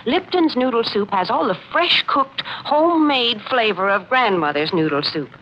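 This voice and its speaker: A woman's voice: on the high side, animated, normal speed. A woman reading scripted 1940s radio announcements in a folksy, earnest, cheery selling manner. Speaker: radio show announcer and sponsor spokeswoman. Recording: muffled 1940s radio recording, faint hiss.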